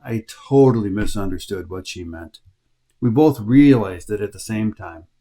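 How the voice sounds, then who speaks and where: A man's voice: lowish voice, expressive, moderate pace, warm tone. Middle-aged man, American accent, scripted travel narration, dry wry humor. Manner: wry, understated storytelling. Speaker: hiking vlogger.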